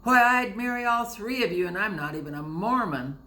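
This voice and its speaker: Woman doing a booming menacing sailor. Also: moderate pace, bright, forceful tone, reverberant, close to an everyday voice. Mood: leering. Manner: growling, booming, mocking. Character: huge menacing male sailor, scornful bully.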